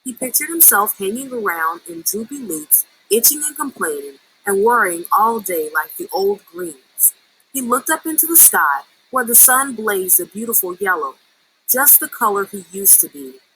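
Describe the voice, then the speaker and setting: A woman reading a children's story aloud in an expressive storytelling style. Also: medium pitch, moderate pace, slight background noise, poor audio. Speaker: story reader.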